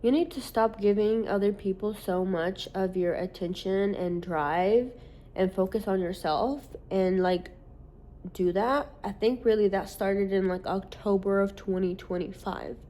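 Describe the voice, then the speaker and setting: A woman's voice: mid-range voice, measured pace, dark tone. A woman in her late twenties talking candidly in a casual vlog, rambling with lots of "like" and casual swearing. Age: late 20s. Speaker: social media creator.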